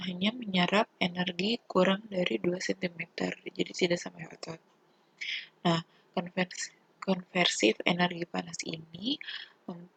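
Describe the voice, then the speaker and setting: A woman's voice: lowish voice, creaky, slightly rough, no room sound, poor audio. A young woman with an Indonesian accent giving a formal, methodical student presentation. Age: young adult. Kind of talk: student presentation explaining a topic.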